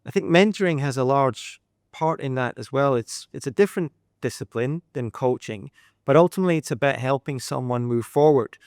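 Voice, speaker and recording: A man's voice: medium pitch, fairly quick, smooth, dry recording, clean studio sound. Reflective Scottish man, middle-aged. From Scotland. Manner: reflective, steady, uses sayings and examples. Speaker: guest, leadership coach. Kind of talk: measured podcast interview answers. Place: Dubai.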